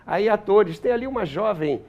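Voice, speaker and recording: A man's voice: sits high, expressive, normal speed, gravelly, highs cut off. An older man with a Brazilian accent, reminiscing as an interview guest and searching for words here and there. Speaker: interview guest, historian and author.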